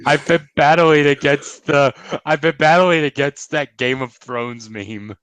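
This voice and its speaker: A man's voice: fairly high pitch, fairly even intonation, measured pace, fairly bright tone, clear, resonant tone, no room sound. An American man with a nasal, congested voice from a cold, chatting casually as a review show host. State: has a cold.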